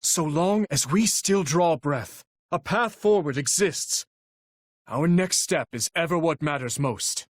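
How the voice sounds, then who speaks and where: A noble young knight and commander from a video game, earnest and resolute. His voice sits a little high and moves widely in pitch, while his phrasing stays formal and unhurried. He sounds solemn and dutiful, like a leader addressing his troops. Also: lively intonation, no echo, studio quality. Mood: hopeful, steady. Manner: formal, measured, earnest delivery. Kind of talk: dramatic video game voice acting.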